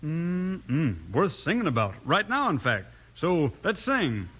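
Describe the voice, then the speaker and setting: A man's voice: fairly high pitch, huge pitch swings, slow pace, a bit bright, breathy edge. A man delivering a scripted 1950s radio advertisement with folksy, boyish enthusiasm. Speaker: ad pitchman.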